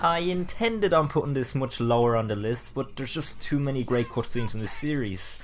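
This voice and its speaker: A man's voice: mid-range voice, expressive, moderate pace, over loud music. A man giving scripted but informal, enthusiastic commentary as the presenter of a top ten video.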